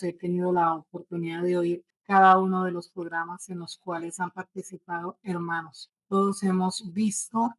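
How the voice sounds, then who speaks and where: A woman's voice: on the low side, darkish tone, poor audio. Colombian woman in her forties, earnest testimony. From Bogotá, Colombia. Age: 40s. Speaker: testimony-giving guest. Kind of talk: religious personal testimony.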